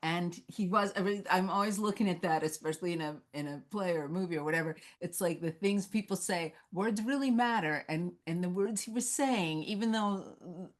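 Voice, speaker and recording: A woman with a slightly low voice moderates a discussion. She talks conversationally and reflectively, with an encouraging manner as she guides questions and relays comments. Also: moderate pace, hardly any pauses. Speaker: moderator of a script-reading Q&A. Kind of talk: conversational Q&A hosting, reading out audience comments.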